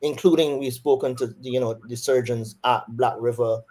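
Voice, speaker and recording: A man's voice: medium pitch, steady tone, normal speed, darkish tone, rough recording. A man with a Barbadian accent, a surgeon answering interview questions thoughtfully, with frequent restarts.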